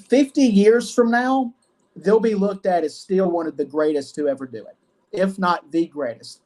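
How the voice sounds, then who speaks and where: A man's voice: on the high side, darkish tone. A man in his twenties, a wrestling guest answering earnestly and often repeating himself. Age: 20s. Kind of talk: casual interview.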